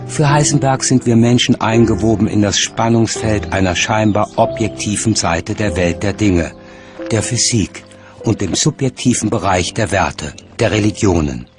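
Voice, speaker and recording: A man narrates a documentary from a script. His voice sits on the low side, and music plays clearly behind him. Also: normal speed.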